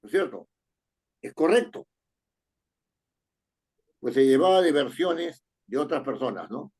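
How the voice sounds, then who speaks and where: Husky-voiced man teaching writing. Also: fairly high pitch, expressive, a little mellow. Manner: reads text aloud slowly, then explains with rising questions like "¿no es cierto?". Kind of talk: correcting a student's paragraph in class.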